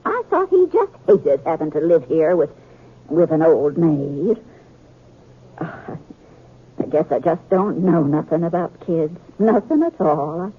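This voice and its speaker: Crusty spinster aunt, rustic, emphatic, very animated pitch, radio western, tinny and noisy. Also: female voice, takes it slowly, heavily acted. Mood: softening, flustered. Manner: rustic, sharp-tongued, emphatic. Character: crusty scolding spinster aunt, soft underneath. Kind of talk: 1950s radio western acting.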